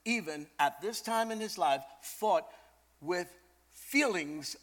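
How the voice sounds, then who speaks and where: An older man from Brooklyn, preaching in a storytelling style, animated and emphatic, repeating phrases for effect. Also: sits high, takes it slowly. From Brooklyn, New York, USA. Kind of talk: storytelling sermon.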